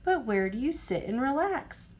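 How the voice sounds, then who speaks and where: A woman's voice: sits high, very animated, moderate pace, a little mellow. A woman voicing a cheerful, simple, good-hearted male train driver in a folksy, easygoing way for a picture book. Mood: eager, curious. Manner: folksy, easygoing, puzzled questioning.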